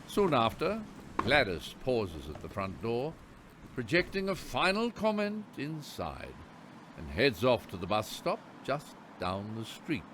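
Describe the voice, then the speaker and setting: A man's voice: mid-range voice, huge pitch swings, a little slow, a bit bright, slight background noise. A man playing the narrator of a radio play, telling the story in scripted, descriptive prose. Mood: descriptive storytelling.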